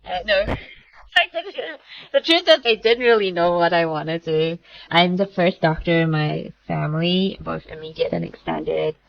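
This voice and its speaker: Lowish-voiced woman from malaysia, chatty and quick with lots of "like", kinda muffled lo-fi sound. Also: lively intonation, vocal fry. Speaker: founder guest. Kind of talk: casual interview talk.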